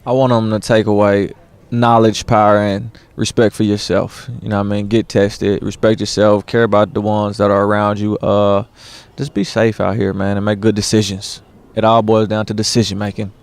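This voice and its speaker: A man's voice: lowish voice, flat delivery, normal speed, a little mellow, clean tone. A young man, an actor, chatting casually in a laid-back way with slang and many uhs. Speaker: stage actor. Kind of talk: casual interview.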